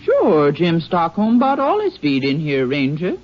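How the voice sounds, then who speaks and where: A woman's voice: on the low side, huge pitch swings, a little slow. An American woman playing a chatty, helpful feed store clerk in a 1950s radio western, folksy and emphatic. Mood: helpful, certain. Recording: dull, noisy old radio recording.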